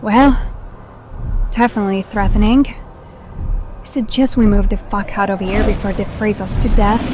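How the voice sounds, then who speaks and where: A woman's voice: mid-range voice, steady tone, measured pace, long pauses, noisy background, natural voice. A woman playing a wary adventurer sizing up threats in an audio drama. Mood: alarmed.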